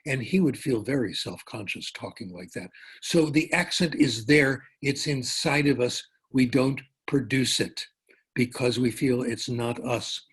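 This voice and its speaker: Older man with a husky voice, lecturing. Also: medium pitch, unhurried. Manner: relaxed storytelling with asides and self-corrections. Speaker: professor giving a conference talk. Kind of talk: lecture, conversational with anecdotes. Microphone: remote talk over a conference call.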